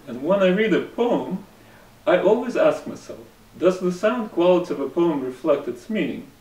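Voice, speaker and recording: A man's voice: a little high, a little mellow. Russian-accented man teaching in an echoey room. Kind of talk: scripted lesson narration. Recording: roomy room echo, somewhat distant mic, faint ambience.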